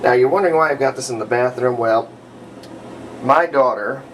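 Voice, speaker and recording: A man, middle-aged, giving an unhurried, rambling, step-by-step commentary on hands-on restoration work, recorded indoors at home. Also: medium pitch, a little hiss or hum, low-quality recording. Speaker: hobbyist radio restorer presenting own repair videos. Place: indoors at home, partly in a bathroom.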